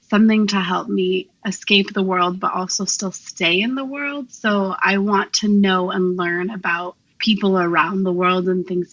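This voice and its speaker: A young adult woman guest speaking earnestly and a little haltingly in a casual interview. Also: mid-range voice, fairly bright tone, no echo. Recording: slightly muffled line.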